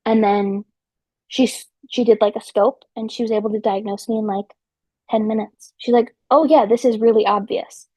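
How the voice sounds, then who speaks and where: Young woman guest, twenties, from New Jersey; talks chatty and loose, full of ums and likes; voice on the high side. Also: steady tone, moderate pace, warm tone, breathy edge, no room sound. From central New Jersey. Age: 20s. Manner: chatty, frequent ums and likes, slips into technical terms. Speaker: podcast guest. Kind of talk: casual conversational storytelling.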